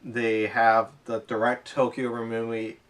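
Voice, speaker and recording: A man's voice: mid-range voice, completely flat delivery, slow pace, fairly bright tone. A man in casual, unscripted conversation, explaining things and thinking aloud in loose, run-on sentences. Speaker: co-host of an airsoft podcast. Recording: voice distant from the microphone.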